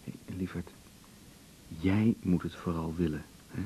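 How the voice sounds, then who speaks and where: An actor playing a calm, practical, supportive husband in a radio drama, measured and considerate. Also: male voice, sits low, slightly rough. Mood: practical, caring. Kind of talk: naturalistic radio drama conversation. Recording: muffled, noisy radio recording.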